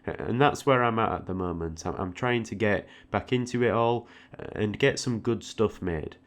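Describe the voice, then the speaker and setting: A man's voice: fairly low pitch, moderate pace. A man giving an informal, reflective audio log, often repeating words hesitantly as he goes. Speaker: art tutorial creator, channel host.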